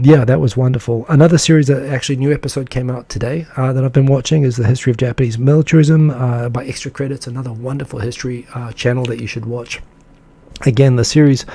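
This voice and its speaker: Male live-stream host, dark gravelly tone, chatty and enthusiastic, loose with fillers. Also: medium pitch. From English-speaking country. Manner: rambling and enthusiastic, lots of fillers; reads out and answers viewer comments. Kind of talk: casual live-stream chat.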